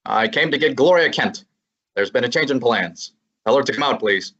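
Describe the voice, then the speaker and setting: A wisecracking young male sidekick detective, played by a young student actor, talking tough and slangy at mid pitch with a husky edge. The sound is a little dull and lo-fi, like a school radio play. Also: expressive, moderate pace, fairly bright tone. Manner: brash, slangy tough-guy delivery. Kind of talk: old-time radio drama acting and narration.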